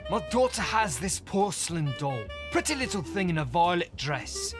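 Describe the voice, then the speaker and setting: A man's voice: high-pitched, big pitch swings, moderate pace, over music. An actor playing a superstitious, earnest father in a period audio drama, solemn and old-fashioned in his speech. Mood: spooked. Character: superstitious, earnest, tippling father seeking help.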